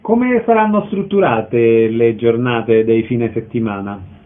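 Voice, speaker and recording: A man's voice: mid-range voice, lively intonation, takes it slowly, a little hiss or hum. A man, hosting a radio show, presenting and asking questions. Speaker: radio host. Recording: lo-fi narrow-band radio sound.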